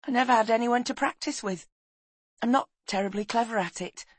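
A woman's voice: fairly high pitch, a little fast, husky, no echo, voice pushed up. A woman voicing a shy, wary orphan boy with an artless, earnest manner in an audiobook.

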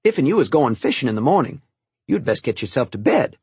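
A man's voice: mid-range voice, very animated, fairly quick, slightly rough, no echo, pitched above the performer's own voice. A man voicing a cheerful, teasing old woman who works as the family cook, speaking in folksy rural dialect. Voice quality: rural dialect grammar, chuckling warmth.

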